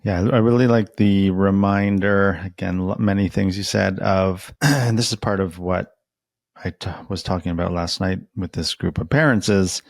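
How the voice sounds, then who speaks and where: A man's voice: deep voice, fairly even intonation, normal speed, gravelly, no room sound, clean studio sound. A man hosting a casual, reflective interview, speaking informally and tentatively as he thinks aloud. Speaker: interview host.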